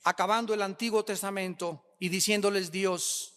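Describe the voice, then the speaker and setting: Male preacher, Mexican accent, bright forceful tone, driving points home through repetition, long hall reverb. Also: sits high, fairly even intonation, moderate pace, smooth. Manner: emphatic, repeats phrases for effect. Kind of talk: sermon.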